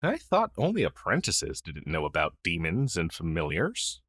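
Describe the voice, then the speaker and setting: A man acting as a male crow demon, once a witch's familiar and now down on his luck, in an ASMR fantasy roleplay. His voice is on the low side and very animated, swinging up and down as he stammers earnestly. The sound is close and dry. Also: moderate pace, no echo, exaggerated voice. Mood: warm, explanatory. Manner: earnest, flustered, hesitant stammering.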